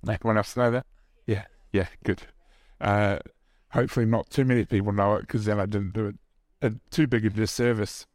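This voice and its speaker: A man's voice: lowish voice, steady tone, normal speed, a little mellow, no echo, studio quality. A man with a New Zealand accent preaching an informal sermon at a church service, joking about himself and chatting with the congregation. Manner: self-deprecating and joking, chatty asides to the audience.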